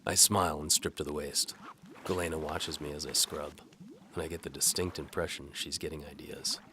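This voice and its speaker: A man's voice: rumbling low, fairly even intonation, moderate pace, noisy background. A man playing a sardonic, world-weary bow for hire, narrating his own story in noir audio drama style. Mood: dry, wry.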